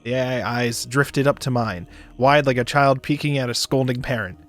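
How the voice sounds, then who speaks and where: A man doing a dramatic narration of a visual novel for a stream, expressive, sometimes stumbling and joking. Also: mid-range voice, moderate pace. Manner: expressive, stumbles and rereads lines. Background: music behind the voice.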